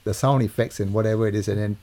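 A man's voice: fairly low pitch, fairly even intonation, fast, mellow. An older man, chatting casually as an interview guest and telling stories about his working life. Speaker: guest, TV music composer and mixer.

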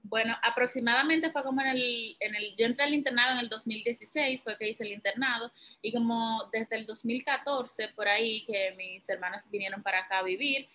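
A young woman from the Dominican Republic with a Dominican Spanish accent, a medical resident answering interview questions in a relaxed, informal way. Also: on the high side, a little fast, poor audio. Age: young adult. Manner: relaxed, informal, explains step by step with many fillers.

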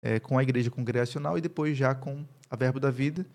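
A man in his thirties with a mixed accent from Paraíba, Brazil, a pastor calmly telling his story as an interview guest. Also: mid-range voice, fast talker. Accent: mixed Paraíba Brazilian Portuguese. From Campina Grande, Paraíba, Brazil. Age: 30s. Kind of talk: casual interview conversation.

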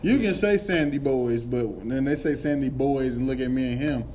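Man, co-host, crude joking banter, loud laughs, faint background hiss. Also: mid-range voice, normal speed, lo-fi sound. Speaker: podcast co-host. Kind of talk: casual joking chat. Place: room, no mics set up.

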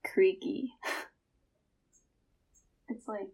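A woman's voice: medium pitch, steady tone, very slow, gravelly, darkish tone, breathy edge. A teenage girl chatting casually and self-deprecatingly with friends on a podcast. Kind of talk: casual chat among friends.

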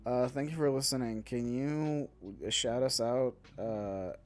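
A man co-hosting a podcast in casual nerdy banter, rambling haltingly and often repeating himself. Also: medium pitch, darkish tone, a little hiss or hum. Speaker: podcast co-host. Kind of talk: casual nerd-news banter.

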